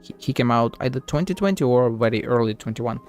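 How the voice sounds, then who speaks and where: A man's voice: mid-range voice, lively intonation, quick pace, soft background music. A man streaming a game with casual, slangy, laid-back live commentary.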